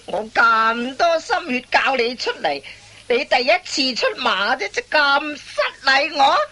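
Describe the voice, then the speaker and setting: A woman playing a veteran master thief, an exasperated mentor who scolds and lectures in drawn-out exclamations in a Cantonese radio comedy. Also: high-pitched, animated, bright, forceful tone, heavily acted.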